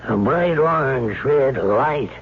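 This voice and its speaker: An actor playing an excitable ordinary working man, eager and halting, in a colloquial radio drama style. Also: medium pitch, a little hiss or hum. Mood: excited, insistent. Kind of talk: old-time radio drama acting. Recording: muffled, faintly humming vintage radio recording.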